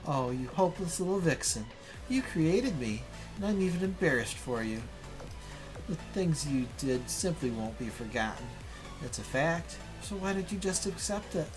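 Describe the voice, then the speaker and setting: A man's voice: fairly high pitch, very animated, moderate pace, music in the background, pitched above the performer's own voice, rough recording. Man voicing a scornful, taunting female villain. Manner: theatrical, scornful, pressing rhetorical questions. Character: mocking, taunting female villain, a dark double.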